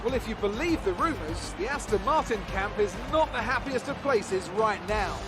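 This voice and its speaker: Race tv commentator, emphatic, really high voice for a man, loud music bed, game. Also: animated, normal speed. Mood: analytical. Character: male motorsport TV commentator, concerned and emphatic. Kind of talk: sports commentary in a video game.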